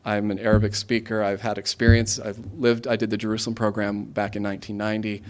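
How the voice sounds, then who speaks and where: Halting American man with a lowish voice. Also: completely flat delivery. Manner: conversational, halting, self-interrupting. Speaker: guest speaker, government aid regional coordinator. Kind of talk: informal career talk to students.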